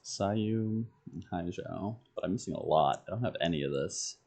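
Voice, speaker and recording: A man streaming a game, talking to himself in halting, terse fragments. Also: low voice, creaky, a little mellow. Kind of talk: muttering item names while making a list.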